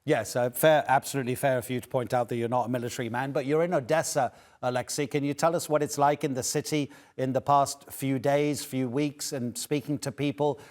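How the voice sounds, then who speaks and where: Male news anchor, measured, asks long multi-part questions. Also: medium pitch, steady tone, darkish tone.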